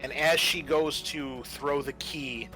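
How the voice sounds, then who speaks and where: A man narrating a tabletop horror game as game master, describing things graphically in a halting, casual way. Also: on the high side, steady tone, over music, low-quality recording.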